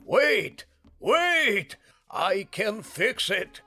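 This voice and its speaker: A gruff fantasy blacksmith, acted by a man, speaking slowly in a very low register. His delivery is dry and reluctant, yet it swings widely in pitch, with music underneath. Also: huge pitch swings, heavily acted. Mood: frantic. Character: gruff, reluctant weapon smith who relents, fantasy shopkeeper. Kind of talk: animated fantasy fandub acting.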